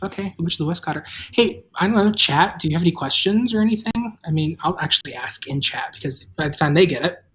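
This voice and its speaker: A livestream host and audio drama creator chatting casually with the cast and viewers in a wry, deadpan way. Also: mid-range voice, fast talker, hardly any pauses.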